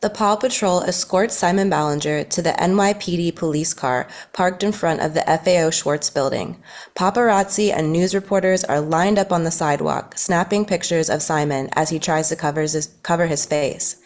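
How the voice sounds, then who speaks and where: A woman's voice: on the low side, normal speed. A woman reading scripted narration and stage directions at a table read.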